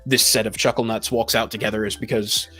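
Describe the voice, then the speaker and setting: A man's voice: fairly low pitch, fairly even intonation, brisk, sharp tone. Wry man chatting casually over faint music. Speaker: podcast co-host. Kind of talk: casual discussion of tabletop roleplaying.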